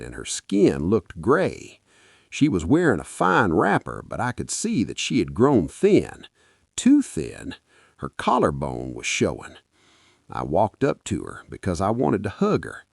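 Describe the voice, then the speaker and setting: A man's voice: low voice, wildly swooping pitch, a little slow, creaky, slightly rough, dry recording, clean studio sound. A man with a Nigerian accent narrating a folktale dramatically in the first person, as a podcast storyteller.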